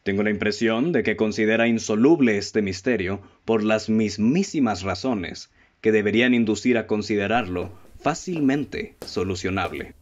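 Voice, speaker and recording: A man with a Mexican accent acting a self-assured, analytical detective in a radio play, speaking formally and deliberately, on a lo-fi recording. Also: fairly low pitch, close to an everyday voice. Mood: confident, explaining. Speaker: amateur actor in a radio play.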